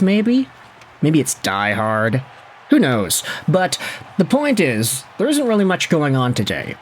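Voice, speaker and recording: A young man talks through the hockey standings in a chatty, conversational way. His voice sits a little higher than most men's, and it often jumps up in pitch. He sounds like a host easing through a solo commentary video. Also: slight background noise. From Philippines.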